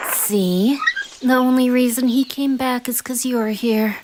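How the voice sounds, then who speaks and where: Girl doing a playful young bard guy, flustered doting single dad in an audio roleplay, really slow talker, noisy recording. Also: a little high. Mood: teasing, affectionate. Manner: expressive, exasperated yet affectionate, sighs and chuckles. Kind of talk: fan audio roleplay addressed to the listener.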